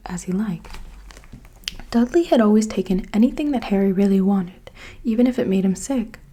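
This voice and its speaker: A woman reading a storybook aloud in a soft whisper. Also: medium pitch, breathy edge. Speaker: reader.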